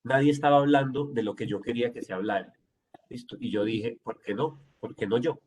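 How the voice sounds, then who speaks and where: A man in his forties with a Medellín Colombian Spanish accent speaks in a husky, slightly breathy voice. He answers interview questions in a fluent, self-assured way, explaining his background at mid pitch. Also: brisk, a little mellow. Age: 40s. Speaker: city councilman.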